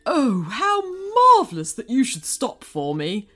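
A woman's voice: medium pitch, huge pitch swings, measured pace, pushed and bright, faint music behind, full character voice. A woman voicing an effusive, polite, grateful male fishman in a children's storybook. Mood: delighted, flustered.

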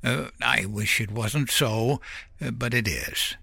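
A man voicing a dying old uncle who talks bluntly and gruffly, in an audiobook story. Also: medium pitch, slow pace, bright, forceful tone, no echo, voice pushed up, heavily acted. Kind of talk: audiobook character voice.

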